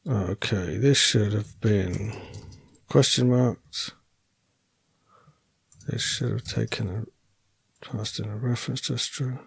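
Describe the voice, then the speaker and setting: A man thinking aloud while writing code as a programming tutor, relaxed and wry, correcting himself as he goes, and losing his voice. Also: fairly low pitch, slow, gravelly, pauses often, breathy edge, no echo.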